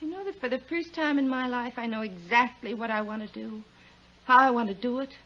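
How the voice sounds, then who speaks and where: Woman acting a high-voiced, despairing young woman. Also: a little fast, lightly acted. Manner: anguished, weary, self-pitying. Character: despairing, distraught young woman threatening to jump. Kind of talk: 1950s radio drama acting. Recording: muffled, noisy 1950s radio recording.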